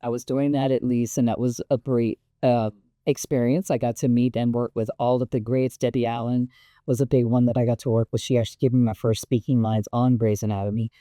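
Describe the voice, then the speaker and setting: A woman with a relatively deep voice, a guest actress telling stories in an animated, laughing way. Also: brisk, no echo. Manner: animated, laughs often, voice jumps up when excited. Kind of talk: casual interview storytelling.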